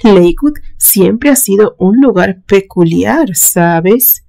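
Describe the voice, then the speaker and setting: An elderly great-aunt from a gothic storybook, voiced by a woman reader, speaks with calm, wistful softness. Her tone is mellow and unhurried, with an enigmatic, melancholic air. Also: mid-range voice, vocal fry. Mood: calm, dismissive. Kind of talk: dramatized storybook reading.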